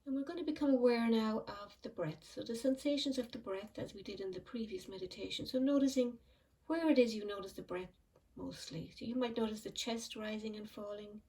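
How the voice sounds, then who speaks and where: Soft-spoken woman guiding a meditation. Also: fairly high pitch, distant mic, poor audio. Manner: slow, soft and soothing, with frequent pauses. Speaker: meditation teacher. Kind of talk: guided mindfulness meditation.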